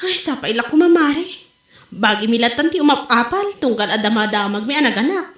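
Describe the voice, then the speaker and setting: A woman's voice: on the high side, animated, fairly quick, a bit bright. A woman with an Ilocano Filipino accent acting an eager, chatty village wife in an amateur comedy audio drama. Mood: earnest. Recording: roomy, echoing amateur podcast recording.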